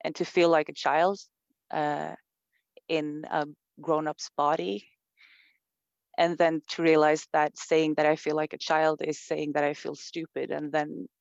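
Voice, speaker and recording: A woman's voice: low-pitched, flat delivery, measured pace, gravelly, dry recording, muffled recording. A woman from Sweden with a Swedish accent, speaking thoughtfully in an interview, with many fillers and restarts. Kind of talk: reflective conversation.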